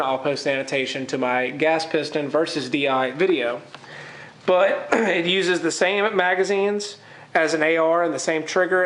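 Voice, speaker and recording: The recording is noticeably roomy and echoey. A man with a fairly high voice, congested from a cold, talks through an informal video review. He explains things in technical detail. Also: a bit bright. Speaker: gun reviewer. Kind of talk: informal product review to camera.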